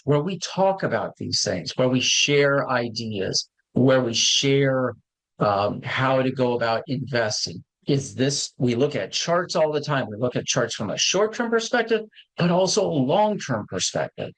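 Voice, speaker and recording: Man, 50s, animated, conversational host who corrects himself mid-thought. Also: medium pitch, measured pace, low-quality recording. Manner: conversational, repeats and corrects himself, plain-spoken. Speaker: market show host. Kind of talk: casual educational talk on investing.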